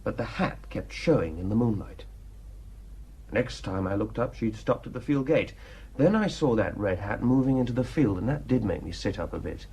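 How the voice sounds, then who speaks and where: A man's voice: fairly low pitch, animated, moderate pace, a little mellow, husky, exaggerated voice. An actor playing a cynical, defiant male painter in a radio drama, telling his tale wryly and without remorse. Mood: musing.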